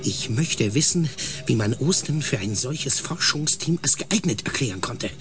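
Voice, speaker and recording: An actor playing an irritable, indignant spaceship scientist in a radio drama, speaking with agitated scorn. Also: male voice, medium pitch, expressive, moderate pace, over music, lightly acted. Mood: scornful.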